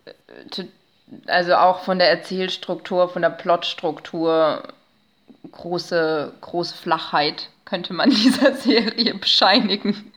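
A woman speaking as an expert guest in a casual interview, explaining things with halting, searching phrasing. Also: fairly low pitch, fairly bright tone.

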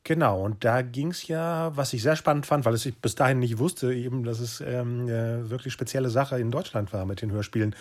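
A man's voice: medium pitch, fairly quick, hardly any pauses. A man with a German accent hosting a podcast interview in a casual, chatty way. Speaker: podcast host.

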